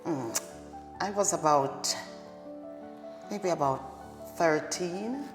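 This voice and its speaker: A woman's voice: on the low side, animated, very slow. Jamaican woman answering straight and short, music playing behind. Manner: plain-spoken, short direct answers. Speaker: direct support professional. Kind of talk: interview answers. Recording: echoey hall with music behind.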